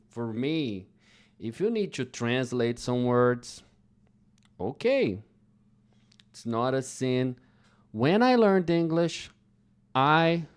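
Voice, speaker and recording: A young man from Brazil, a Portuguese native speaker with a Brazilian accent, teaching English slowly and carefully to learners. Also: medium pitch, smooth. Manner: slow, careful, deliberate phrasing with pauses. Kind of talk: slow English lesson talk.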